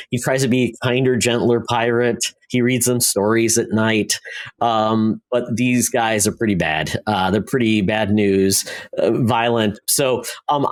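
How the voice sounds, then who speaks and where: Halting man casually reviewing shows. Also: mid-range voice. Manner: halting, rambling, self-interrupting. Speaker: podcast co-host reviewing TV shows.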